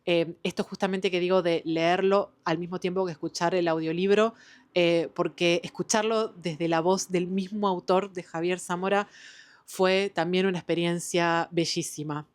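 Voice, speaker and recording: A woman's voice: lowish voice, clear, resonant tone. A woman with an Argentine Spanish accent, chatting enthusiastically about the books she has been reading, with frequent "eh" and "em" fillers. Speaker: book vlogger. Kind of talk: casual book wrap-up chat.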